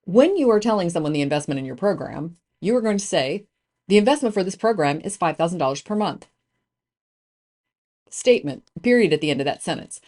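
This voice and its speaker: A woman with a low-pitched voice hosts a business podcast. She speaks emphatically and conversationally, often pausing on fillers and restarting her sentences. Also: a little mellow. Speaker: podcast host. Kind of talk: podcast intro and interview.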